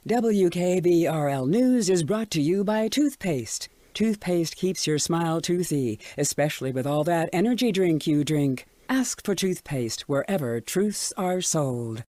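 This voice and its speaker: An actress playing an eerily cheerful radio station announcer, reading ads and promos with smooth, assured salesmanship. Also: female voice, fairly low pitch, lively intonation, unhurried, hardly any pauses, warm tone, no echo. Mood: salesy.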